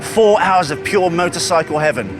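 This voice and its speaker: A man's voice: high voice, expressive, moderate pace. A man working as a presenter and interviewer, speaking in a dramatic, emphatic way. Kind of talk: event presenting, narration and casual interview questions.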